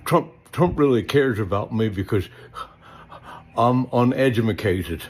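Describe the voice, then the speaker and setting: Man putting on a slow, husky, dim-witted fanboy. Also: mid-range voice, expressive. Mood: dopey, gleeful. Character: dim, slow, gullible male Trump fan. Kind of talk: mocking comic parody.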